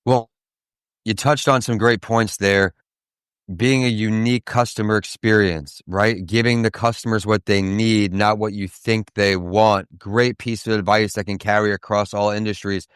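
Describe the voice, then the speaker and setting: Podcast host guy, upbeat, big on praise, smooth clean voice, no room sound. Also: on the low side, steady tone, no room sound, studio quality. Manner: upbeat, praising, slow and emphatic at times. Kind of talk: hosting an interview.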